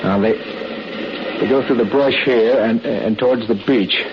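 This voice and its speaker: An actor playing a steady, determined castaway who takes charge in an old-time radio drama, speaking deliberately. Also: male voice, mid-range voice, close to an everyday voice. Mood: intent. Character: steady, determined man stranded on a tropical island. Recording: noisy, muffled vintage radio recording.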